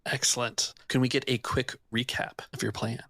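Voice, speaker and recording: A man's voice: mid-range voice, level tone, normal speed, sharp tone, no echo. A man casually running a tabletop role-playing game as game master.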